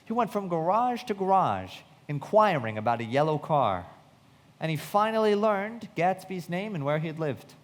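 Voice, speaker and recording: An actor playing a reflective, loyal young narrator in a live radio play, with measured dramatic delivery in a reverberant hall. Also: male voice, fairly high pitch, sing-song. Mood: reflective, sombre.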